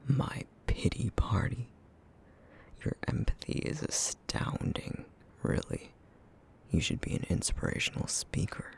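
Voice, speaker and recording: A man acts out a brooding creature who feeds on essence, haunted by guilt and sharp with bitter sarcasm. His low voice sinks into a gravelly near-whisper and moves slowly, in the intimate style of an ASMR roleplay drama. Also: wildly swooping pitch, full character voice. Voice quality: breathy, half-whispered, gravelly.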